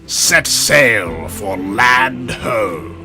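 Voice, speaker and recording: A man voicing an angry, vengeful prince in a theatrical, shouting manner for a dramatic story reading. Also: medium pitch, lively intonation, very slow, over music, voice pushed up. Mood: commanding. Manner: shouting melodramatically.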